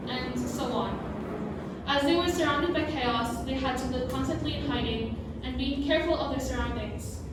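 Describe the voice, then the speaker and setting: A teenage girl giving a school presentation as a student, reading prepared lines with informal asides. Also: high-pitched. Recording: very echoey room, distant mic, clear noise.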